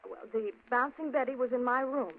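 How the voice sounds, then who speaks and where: A woman's voice: high-pitched, fairly quick, natural voice. An actress playing a young woman who confesses to a killing, in 1940s radio drama style. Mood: confessing. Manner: soft pleading, turns tearful. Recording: tinny old radio broadcast.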